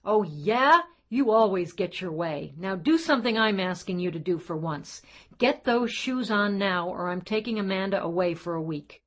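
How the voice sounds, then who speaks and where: A woman reading the lines of a stubborn, controlling young father, loud and insistent. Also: on the low side, steady tone, brisk, few pauses, natural voice. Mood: exasperated. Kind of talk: audiobook reading of quoted dialogue.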